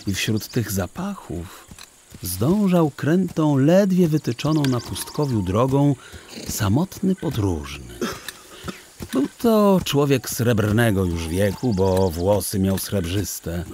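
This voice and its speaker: A man tells a children's story with theatrical, deliberate phrasing. His somewhat low voice rises and falls widely as he takes his time over literary sentences. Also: darkish tone, slight background noise. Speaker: audiobook narrator. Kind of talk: expressive children's storybook narration. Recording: music under the voice. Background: music.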